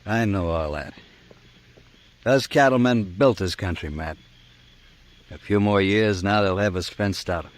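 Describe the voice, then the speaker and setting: A man's voice: fairly low pitch, measured pace, long pauses, natural voice. An actor playing a plain-spoken, wary frontiersman in a 1950s western radio drama. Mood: resigned, bitter.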